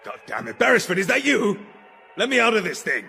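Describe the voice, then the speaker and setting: A man's voice: high voice, sing-song, a little fast, creaky, bright, forceful tone, big-room sound, faint music behind, heavily acted. A man acting in a radio drama as a haughty, hot-tempered count who orders people about imperiously. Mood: angry. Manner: imperious, blustering.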